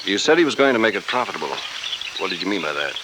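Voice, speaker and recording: An American actor playing a tough, shrewd investigator hero in a 1940s radio adventure, clipped, wry and commanding. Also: male voice, low voice, big pitch swings, very fast. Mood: cool, pressing. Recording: noisy old 1940s radio recording.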